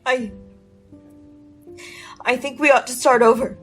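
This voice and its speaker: An actress playing a desperate village wife and mother in a radio play, insistent, shrill and pleading. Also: steady tone, unhurried, faint music behind.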